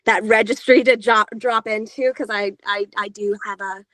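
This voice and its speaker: A woman's voice: fairly even intonation, moderate pace, a bit bright, dry recording, poor audio. Chatty youthful-sounding woman narrator. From Georgia, US. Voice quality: higher-pitched, younger-sounding voice. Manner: chatty, lots of filler sounds and restarts. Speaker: audiobook narrator, interview guest. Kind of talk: casual interview talk.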